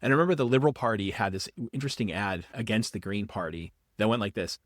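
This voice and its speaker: A man, a professor from the Boston area, explaining ideas quickly in a casual podcast interview, often restarting his sentences. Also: lowish voice. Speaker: guest, political philosophy professor.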